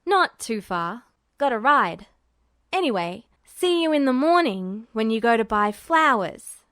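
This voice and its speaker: A woman's voice: a little high, big pitch swings, unhurried, a bit bright, no echo. A woman plays a blunt teenage boy from an audio story. He grumbles and retorts in casual slang with a sarcastic edge, in a close, dry recording. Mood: casual, sly.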